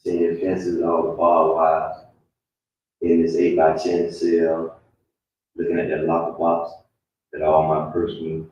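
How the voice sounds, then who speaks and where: Very deep, monotone man, far off. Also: slow pace, pauses often. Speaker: panelist. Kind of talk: reflective personal testimony. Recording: dull, very echoey, distant mic, faint noise.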